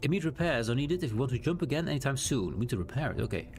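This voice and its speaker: A man's voice: medium pitch, very animated, rapid-fire. A Dutch man with a Dutch accent, whose first language is Dutch, streaming a game live, chatting casually and reading the game's text aloud as he plays. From Netherlands. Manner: chatty, reads game text aloud, thinks out loud with restarts. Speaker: gaming streamer. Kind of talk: live gameplay commentary.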